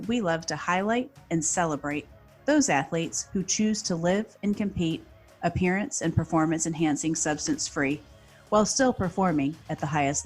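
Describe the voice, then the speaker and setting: Enthusiastic woman podcast host. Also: on the low side. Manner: warm, enthusiastic, read-aloud delivery. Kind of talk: scripted introduction and interview questions.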